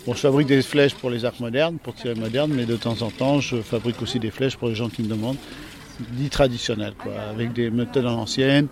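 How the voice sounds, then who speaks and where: French man, middle pitch, arrow maker being interviewed, halting and casual. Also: steady tone, noisy background. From France. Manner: hesitant, many fillers, plain-spoken. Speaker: archery club captain and arrow maker.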